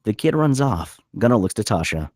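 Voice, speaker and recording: A man's voice: fairly low pitch, big pitch swings, brisk, a little mellow, no room sound, clean studio sound. A man reading the stage directions as scripted narration at a screenplay table read.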